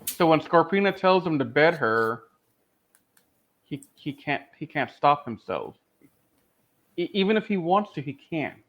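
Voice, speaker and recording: Muffled lo-fi recording, guy with a highish voice chatting on a review podcast, emphatic. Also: sing-song, unhurried, long pauses. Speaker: podcast co-host. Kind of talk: casual discussion and review.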